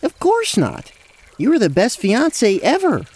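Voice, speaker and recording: A man's voice: very high-pitched, huge pitch swings, unhurried, darkish tone, breathy edge, heavily acted. A man playing a nervous, guilt-ridden, earnest former fiancé who stammers in an acted fantasy audio drama. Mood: earnest, flustered. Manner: stammering, hesitant.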